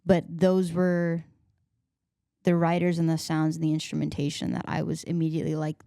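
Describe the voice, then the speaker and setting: Mellow low Southern woman. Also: monotone, moderate pace, no echo, studio quality. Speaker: singer guest. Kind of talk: casual chat.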